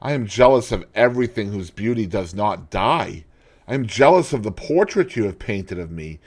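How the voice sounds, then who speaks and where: A man's voice: lively intonation, a little mellow. A man reading aloud and voicing an anguished, vain young man, impassioned and pleading. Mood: despairing. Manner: impassioned, pleading, high and drawn-out.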